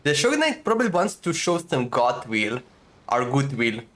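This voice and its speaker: A young man casually commenting on a game he is playing, chatty and excitable with lots of fillers. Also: medium pitch, normal speed. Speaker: gaming streamer. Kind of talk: casual let's-play commentary.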